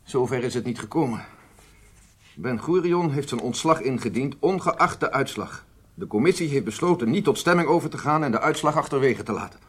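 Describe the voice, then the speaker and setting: An actor playing an earnest, reluctant male intelligence chief in a radio drama, grave, emphatic and deliberate. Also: medium pitch, animated, breathy edge. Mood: sombre.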